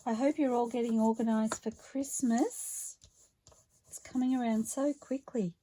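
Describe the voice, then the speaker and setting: Slow, croaky-voiced craft vlogger woman. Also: fairly high pitch, fairly even intonation, mellow, rough recording. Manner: chatty and unhurried, talking through each step. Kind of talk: relaxed craft narration while working.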